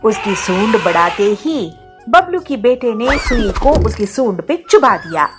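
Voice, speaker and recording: A woman's voice: on the high side, big pitch swings, unhurried, over music. A woman narrating a scripted moral story for children.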